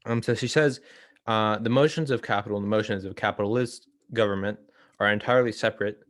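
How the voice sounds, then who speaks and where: Low voiced guy hosting a book chat, dry close sound, kinda hesitant, keeps correcting himself. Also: fast talker, fairly bright tone, no echo. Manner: hesitant, self-correcting, explanatory. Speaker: host of a political theory read-along. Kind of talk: informal book discussion.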